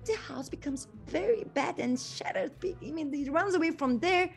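A woman's voice: sits high, animated, brisk, creaky. A woman with a non-native English accent telling a fairy tale to children theatrically, often putting questions to her listeners. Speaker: children's storyteller.

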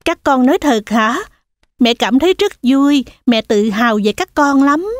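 A woman's voice: high-pitched, expressive, measured pace, no room sound. A woman voicing a loving mother goat in a cartoon, tender and reassuring. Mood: loving, proud.

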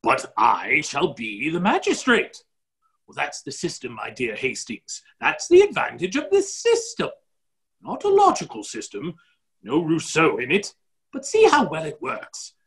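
A man's voice: fairly high pitch, huge pitch swings, measured pace, a bit bright, full character voice. A middle-aged man playing a genial, muddle-headed, blustering duke in a play reading over a video call. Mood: complacent. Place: indoor office, video call. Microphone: computer microphone on a video call.

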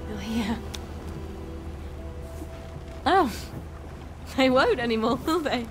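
An actress playing a tender, weary young British mother in a video game, hushed and intimate as she soothes her baby. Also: fairly high pitch, animated, slow pace. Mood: tender, relieved. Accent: British English. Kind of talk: video game character acting. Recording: game audio under loud music.

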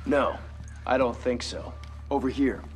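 A man's voice: mid-range voice, measured pace, soft background music, close to an everyday voice. An actor playing a focused, commanding male team leader in a military video game, terse and no-nonsense. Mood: focused, businesslike. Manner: terse, no-nonsense, giving orders. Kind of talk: video game cutscene acting.

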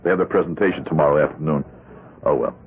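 An actor playing an evasive, buttoned-up accountant in a 1970s radio drama. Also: male voice, extremely low pitch, quick pace. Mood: formal, guarded. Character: evasive, buttoned-up accountant leading a double life. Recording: thin lo-fi vintage radio recording.

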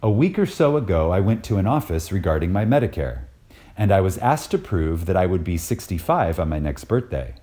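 A man reading a scripted lecture dramatically and reverently, with deliberate pauses and emphasis. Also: deep voice, sing-song, warm tone. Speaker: reader of a recorded lecture.

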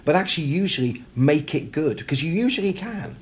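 A man's voice: on the high side, expressive, a little fast. Male author interviewee, conversational and emphatic, voicing imagined dialogue as he explains, light room noise behind. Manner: emphatic, acts out imagined quotes and questions. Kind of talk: animated, reflective conversational interview. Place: hotel.

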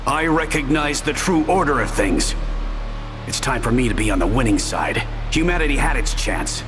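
An actor playing a contemptuous, self-assured middle-aged turncoat general in a video game. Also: male voice, medium pitch, very animated, normal speed, lightly acted. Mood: contemptuous, smug. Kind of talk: video game cutscene acting. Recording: long hall reverb, music behind.

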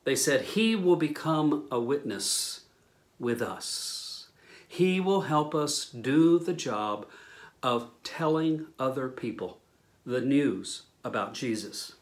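A man in his sixties preaching a sermon as a pastor, with measured, deliberate phrasing and pauses. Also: mid-range voice, lively intonation. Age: 60s. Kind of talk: sermon, teaching scripture to camera. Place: small indoor room, speaking to camera.

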